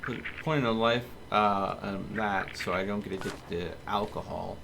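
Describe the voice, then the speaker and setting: A man streaming a video game, thinking aloud in casual, muttered commentary as he deliberates. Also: on the low side. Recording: clear background noise from game ambience.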